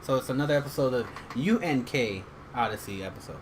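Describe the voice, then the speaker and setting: A man's voice: medium pitch, animated, fast, crowd noise. A man from Texas hosting a podcast in a loose, joking chat with many fillers. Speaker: podcast host. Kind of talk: casual chat.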